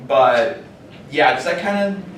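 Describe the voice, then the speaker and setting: Male panelist, slightly high voice, informal and animated, recorded far off in a very reverberant room. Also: a little slow. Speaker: voice actor on a convention panel. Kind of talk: casual panel Q&A. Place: large indoor convention panel room. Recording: very echoey, distant, noisy convention room.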